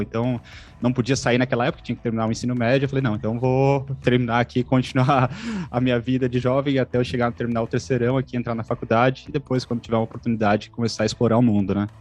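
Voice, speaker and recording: A man's voice: medium pitch, darkish tone. A man, telling his travel story casually with lots of "né" and "pô". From Santa Catarina, Brazil. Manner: loose, uses fillers like "pô" and "né". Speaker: interview guest, traveler.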